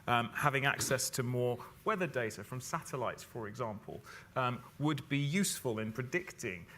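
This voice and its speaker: A man's voice: medium pitch, expressive, moderate pace, bright, forceful tone. A man answering as a panelist in an explanatory way, often repeating words as he starts a phrase. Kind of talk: panel discussion.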